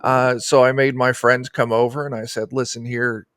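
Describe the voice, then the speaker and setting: A man's voice: mid-range voice, flat delivery, moderate pace, fairly bright tone, no echo, clean studio sound. A man, chatting casually in a jokey, rambling way as a guest. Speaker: guest, streamer. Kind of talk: casual chat. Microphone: close desk microphone on a video call.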